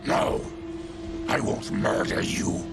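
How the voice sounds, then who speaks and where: A deep, heavily gravelled mutant warrior for a video game, gruff but honourable. He speaks slowly and sternly, with big swings in pitch, over loud music. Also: huge pitch swings, exaggerated voice. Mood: firm resolve. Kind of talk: video game cutscene acting. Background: loud game score.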